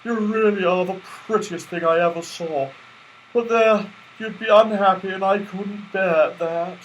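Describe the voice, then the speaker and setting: Man voicing an excitable high-pitched toy rabbit. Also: measured pace, slight background noise, low-quality recording. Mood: fond, wistful. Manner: gushing, hesitant, exclamatory. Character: excitable, kindly, sentimental male toy rabbit. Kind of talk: children's storybook character voice.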